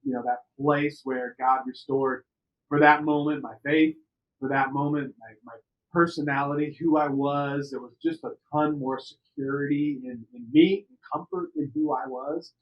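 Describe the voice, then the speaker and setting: A middle-aged man from the United States telling personal stories on a podcast in an animated, conversational way, repeating words for emphasis. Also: fairly high pitch, moderate pace, muffled recording. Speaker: podcast interview participant. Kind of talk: personal storytelling.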